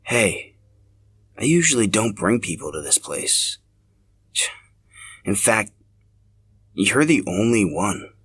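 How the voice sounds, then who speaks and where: A man's voice: fairly low pitch, very animated, slow pace, long pauses, fairly bright tone, husky, heavily acted. A man voicing a shy, brooding young man in a narrated story, hesitant and confiding. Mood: tender, hesitant.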